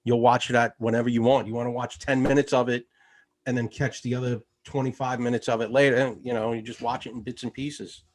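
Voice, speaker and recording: A man from the United States chats casually as a guest in an easygoing, rambling way, often pausing on fillers. His voice sits at a middle pitch. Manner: relaxed, rambling, many fillers. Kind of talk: casual conversation.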